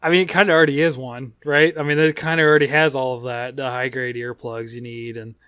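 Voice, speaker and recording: A man hosting a casual gaming podcast, talking in a rambling, halting way. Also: mid-range voice, fairly even intonation, sharp tone, smooth, dry recording, studio quality. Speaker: podcast host.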